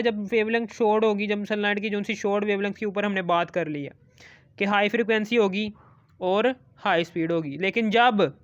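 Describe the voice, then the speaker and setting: A man's voice: sits high, clear, resonant tone, lo-fi sound. A man teaching a science lesson, repeating phrases and asking questions that he answers himself. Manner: repetitive, asks questions and answers them, adds check-in tags. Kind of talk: explanatory school lesson, reading English textbook phrases and explaining them.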